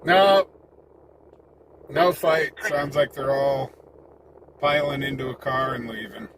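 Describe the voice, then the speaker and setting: A man's voice: medium pitch, fairly even intonation, slow. Folksy American man chatting over vehicle noise. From United States. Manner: folksy, chatty, greeting viewers by name. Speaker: live-stream host, independent reporter. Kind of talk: casual live-stream chat. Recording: clear background noise, vehicle sounds. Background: vehicle and ambient noise.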